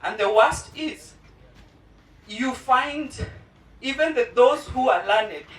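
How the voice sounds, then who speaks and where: A mother of two special-needs children giving a heartfelt personal testimony at a charity launch, earnest and pausing often. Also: on the high side, animated, a bit bright, distant mic. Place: indoor event venue. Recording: roomy hall, voice from off-mic.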